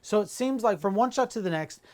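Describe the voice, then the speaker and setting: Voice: mid-range voice, expressive, brisk, a little mellow. A podcast co-host casually commenting on movie trailers, with a playful, teasing way of bantering.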